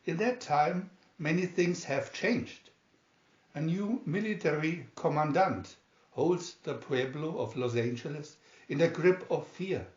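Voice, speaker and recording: A man reading the part of a knowing, friendly but grave ship's officer in a storybook, measured and declamatory. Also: a little high, darkish tone, lightly acted. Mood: grave, warning. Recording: roomy, dull home recording.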